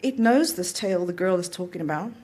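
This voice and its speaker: A woman's voice: on the low side, expressive, fairly quick, a little mellow. A woman reading a literary short story aloud at an event, in the narrator's voice. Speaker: author reading own story.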